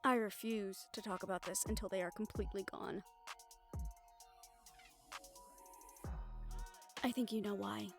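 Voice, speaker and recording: A woman's voice: mid-range voice, steady tone, a little fast, vocal fry, over music, lightly acted. A woman playing a mafia boss's blunt, exasperated, loyal girlfriend in an audio roleplay, swearing and sarcastic. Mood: guarded, wary. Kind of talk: audio roleplay acting to the listener.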